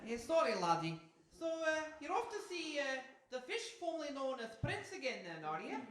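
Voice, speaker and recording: High, cheeky Scottish seagull. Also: female voice, big pitch swings, moderate pace. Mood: wry, teasing. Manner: teasing, hammy, drawling fillers. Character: cheeky Scottish seagull, blunt joking sidekick. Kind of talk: live radio theatre comedy acting. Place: on stage in a hall before an audience. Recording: echoey live hall, faint noise.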